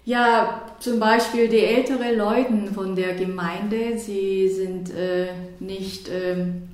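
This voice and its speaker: A woman with a Korean accent talks slowly, hesitating often and restarting her sentences. Her voice sits in a mid range. The recording carries a heavy room echo. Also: mid-range voice, fairly even intonation, a little mellow. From South Korea. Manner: hesitant, many fillers and restarts. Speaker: church worker. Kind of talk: radio interview answers.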